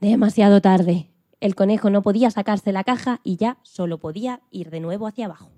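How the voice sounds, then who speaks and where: A woman playing an excitable farm hen astronaut who tells her own story with playful dramatic emphasis in a children's radio play. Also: medium pitch, fairly quick, dry recording, lightly acted. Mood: excited, dramatic. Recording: music behind the voice.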